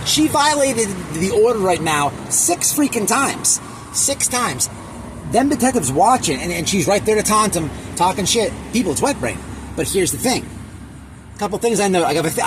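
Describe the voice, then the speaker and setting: Man, high-pitched, opinionated, halting and repetitive, crude slang, casual reaction vlog, noisy background. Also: sing-song. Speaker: online commentator.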